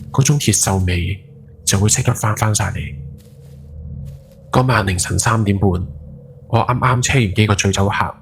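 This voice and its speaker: Low-voiced haunted Hong Kong night driver played by a man. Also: close to an everyday voice. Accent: Hong Kong Cantonese. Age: 40s. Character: haunted guilt-ridden forty-something night taxi driver. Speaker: ghost story podcast narrator. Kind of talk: first-person dramatised horror storytelling. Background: background music.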